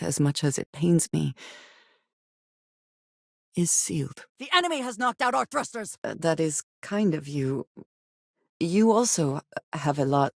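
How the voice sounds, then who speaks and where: An actress playing a guarded, earnest and devout woman spacefarer in a video game, speaking in measured, formal phrases. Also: low-pitched, gravelly, no room sound, clean studio sound, full character voice. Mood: grave, accusing, then urgent, combat-ready, then earnest, soft. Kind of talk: video game character dialogue.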